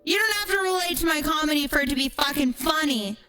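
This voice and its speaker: A woman with a slightly high voice talks like a livestreamer holding court, brash and freely profane. Her delivery is casual and sarcastic, moving between chat and ranting stories, with music playing behind her. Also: expressive, fast, bright, forceful tone. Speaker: streamer.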